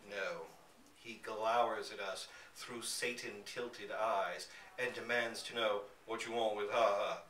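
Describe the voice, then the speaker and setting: A man reading a literary story aloud to listeners in a bookstore, with theatrical, deliberate phrasing. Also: on the low side, level tone, fairly bright tone. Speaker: reader at a live literary reading. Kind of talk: expressive scripted story narration. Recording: echoey room, distant mic, faint background noise.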